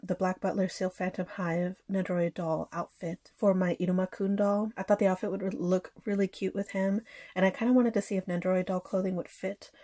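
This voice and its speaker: A woman's voice: fairly low pitch, steady tone, brisk, dark tone, no room sound, studio quality. A woman in her thirties, sick and a bit nasal, chatting casually about her collection with frequent fillers and small laughs. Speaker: anime collector vlogger. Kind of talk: casual haul chat.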